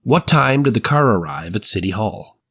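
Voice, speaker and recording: A man's voice: fairly low pitch, expressive, moderate pace, a bit bright, slightly rough, heavily acted. A man playing an 1890s prosecuting attorney, putting precise, formal, methodical questions to a witness in a dramatized trial reading. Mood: probing, formal. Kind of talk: courtroom transcript dramatized reading.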